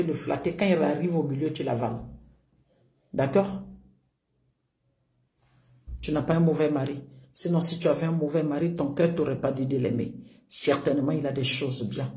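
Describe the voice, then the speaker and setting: A woman's voice: deep voice, reverberant. A woman marriage coach giving advice to callers, checking in with listeners. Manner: warm-toned counsel with repeated "d'accord?".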